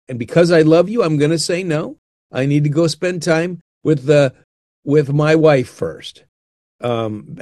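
A man's voice: fairly high pitch, darkish tone, dry recording, studio quality. An older man talking earnestly and unhurriedly about marriage, often restarting his phrases. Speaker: guest speaker on marriage. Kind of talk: reflective conversational talk.

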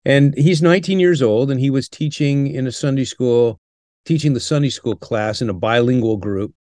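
An older man speaking in an earnest, measured way, like someone teaching, and pausing often with "uh". His voice sits at a middle pitch and is recorded dry and close, with no room sound. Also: a little mellow, dry recording. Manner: earnest, measured, with frequent "uh" pauses. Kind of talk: interview answers, teaching tone.

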